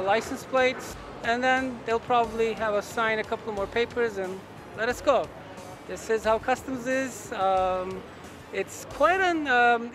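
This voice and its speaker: A man explaining a customs process in a documentary interview, outdoors at a port yard, with some "um" fillers. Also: high-pitched.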